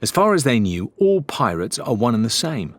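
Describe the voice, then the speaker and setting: A man's voice: medium pitch, sing-song, moderate pace, pitched above the performer's own voice, lightly acted. A man voicing a spirited, earnest pirate woman in an audiobook, a little defensive in manner. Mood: indignant.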